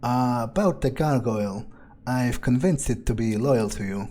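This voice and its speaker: A man improvising in-character game commentary as a scheming vampire, musing aloud in a deliberate, self-assured way. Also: medium pitch, mellow, slight background noise. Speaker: gamer playing in character. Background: faint game music.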